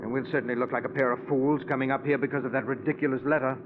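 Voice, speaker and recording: An actor playing a skeptical, anguished middle-aged father in a 1940s radio suspense drama, with theatrical, emphatic delivery that turns halting when he is shaken. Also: mid-range voice, fairly even intonation, noisy background, natural voice. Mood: scornful disbelief. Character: skeptical, anguished father, stubborn and easily agitated. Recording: muffled vintage 1940s radio recording.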